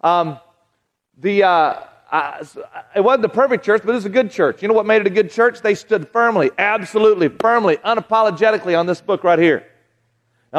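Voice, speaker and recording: Man, Southern American accent, 40s, folksy conversational preacher, slightly high voice, echoing hall. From Southern United States. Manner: folksy, conversational, frequent restarts and asides to the crowd. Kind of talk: sermon to a live audience. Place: large hall with audience.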